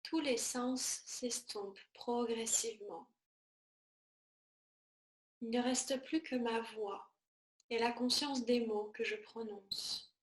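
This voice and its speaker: A woman teaching yoga nidra, guiding a relaxation meditation in a soothing, measured manner with short, spaced-out phrases. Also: on the high side, fairly even intonation, reverberant, low-quality recording.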